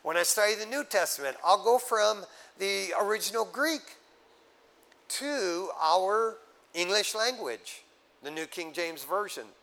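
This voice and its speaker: A man's voice: high-pitched, unhurried, gravelly. A man preaching a sermon, explaining scripture step by step and checking in with his listeners with casual asides. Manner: animated teaching with asides to the congregation. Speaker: pastor.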